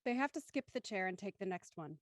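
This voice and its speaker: A woman's voice: mid-range voice, lively intonation, fast, dry recording. A woman reading scripted stage directions as the narrator of a drama.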